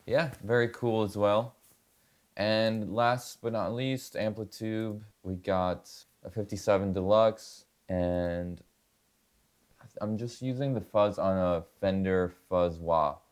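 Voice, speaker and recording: Man, low pitch, smooth clean tone, laid-back, colloquial, casual demo talk. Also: takes it slowly. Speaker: guitarist and producer, interview guest. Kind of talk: casual demonstration and chat. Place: home studio.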